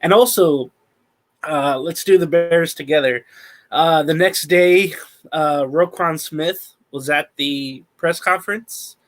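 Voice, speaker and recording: A man with a slightly high voice co-hosts a sports talk podcast. He walks through the news steadily and methodically, filling his pauses with ums and uhs. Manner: steady, methodical recap with many fillers. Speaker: podcast co-host.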